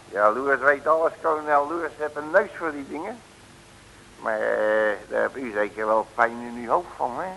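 An actor playing a brash, unflappable, excitable detective in a comic Dutch radio serial, with blustery, colloquial delivery. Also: male voice, medium pitch, normal speed, gravelly. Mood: breezy, boastful. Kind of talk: 1950s-60s comic radio drama acting. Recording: hissy old radio recording, long reverb.

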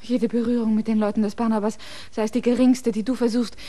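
A woman's voice: on the high side, little pitch movement, quick pace, dark tone, close to an everyday voice. A woman playing an urgent, devoted young fiancée. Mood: pleading. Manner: urgent, pleading. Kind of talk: radio play acting.

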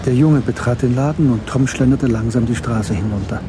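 A man narrating a German radio crime drama in a measured, matter-of-fact way. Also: mid-range voice, noisy background. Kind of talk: scripted audio drama narration.

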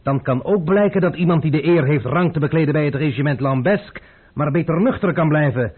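Husky, imperious gentleman. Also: fairly high pitch, brisk. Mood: stern, probing. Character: imperious, impatient gentleman probing for information. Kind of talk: radio play acting. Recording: muffled old radio play recording.

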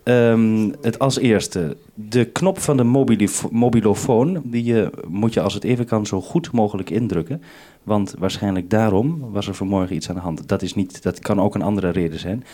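A man's voice: on the low side, dark tone. A Dutch man working as a radio programme maker, giving methodical, instructive briefings over a two-way radio link.

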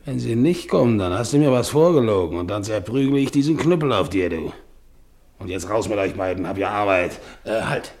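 A low, gruff voice from a 1950s German radio play. He is a working-class carpenter, scornful and brusque, who talks in a mocking, threatening way. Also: animated, a little fast, darkish tone.

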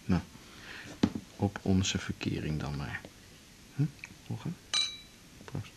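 An actor playing a tender, wistful musician lover, murmuring hesitantly in a naturalistic radio play. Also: male voice, deep voice, lively intonation, takes it slowly, creaky, full character voice. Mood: wistful, affectionate. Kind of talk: naturalistic radio drama acting. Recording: faint hiss on 1980s radio recording.

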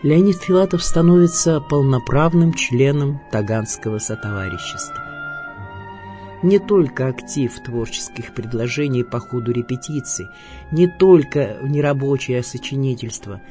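A man's voice: medium pitch, expressive, moderate pace. Male documentary narrator over music. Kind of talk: scripted documentary narration of an audio biography.

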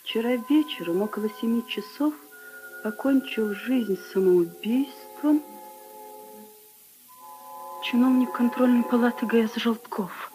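An actress playing a troubled, refined princess in a 1950s radio drama, grave and brooding. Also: female voice, on the high side, measured pace, pauses often, music in the background, natural voice, low-quality recording. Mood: grave reading.

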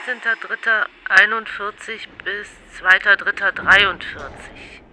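An actor playing an officious records clerk in a radio drama, reading out a file in clipped, bureaucratic phrases. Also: male voice, high-pitched, fairly even intonation, a little slow, very noisy. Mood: officious, dry.

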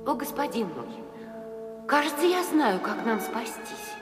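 Sorrowful owl princess, slow and echoing. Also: female voice, on the high side, very animated. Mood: earnest, pleading. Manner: solemn, theatrical storytelling. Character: sorrowful enchanted owl princess, young, plaintive and courtly. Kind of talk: audio fairy-tale drama. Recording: echoey reverberant hall, music underneath.

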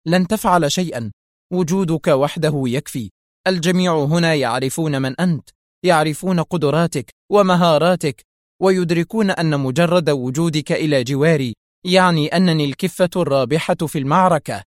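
Man voicing a hot-tempered, demanding woman, raised pitch. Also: a little fast, no echo, studio quality, lightly acted. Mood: nervous, urging. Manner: sharp, agitated, insistent. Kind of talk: dramatic audiobook dialogue.

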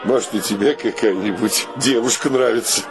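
An actor playing a brash, sly, playful bachelor in a theatrical radio play. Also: male voice, lowish voice, normal speed, over music, natural voice, rough recording.

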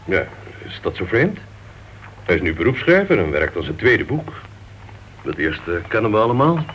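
A wry crime writer in a radio play, with very animated, swooping intonation. He is teasing and argumentative. The recording is old and dull, faintly noisy. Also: fairly low pitch, moderate pace. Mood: persuasive. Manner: teasing, ironic. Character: wry, argumentative male crime writer, tolerant club member. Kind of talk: Dutch radio play acting.

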